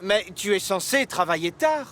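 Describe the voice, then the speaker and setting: A man's voice: high voice, big pitch swings, normal speed, heavily acted. An actor playing an eager, obliging young male steam engine in a children's cartoon, polite, earnest and easily flustered. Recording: loud music behind the voice.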